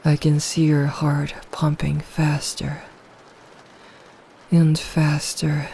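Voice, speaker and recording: A dominant, predatory vampire woman, acted by a woman in an ASMR roleplay. She speaks extremely slowly in a mellow, dark tone, hushed and hypnotic. Her pitch barely moves, keeping every phrase controlled and possessive. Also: completely flat delivery, creaky, a little hiss or hum, exaggerated voice. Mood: seductive, hungry. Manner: slow, hushed, deliberate, hypnotic. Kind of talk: slow, intimate ASMR roleplay.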